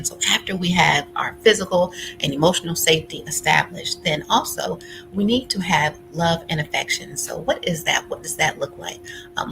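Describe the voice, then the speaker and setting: A woman's voice: low voice, gravelly, poor audio. A woman giving an informal explanatory lecture as a relationship workshop speaker, conversational and voicing example phrases as she goes.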